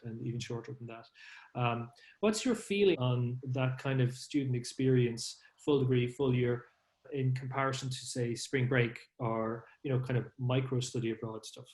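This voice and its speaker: A man's voice: mid-range voice, darkish tone, roomy sound, poor audio. A man hosting a podcast interview, asking long, rambling questions full of hesitations and fillers. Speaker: podcast host and CEO.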